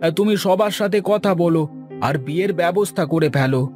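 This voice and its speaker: A man's voice: sits high, a little fast, a little mellow, soft background music, close to an everyday voice. A man voicing a bossy but well-meaning eldest brother of a village household in a cartoon, speaking with authority. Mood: decisive. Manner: authoritative, decisive. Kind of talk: cartoon dialogue.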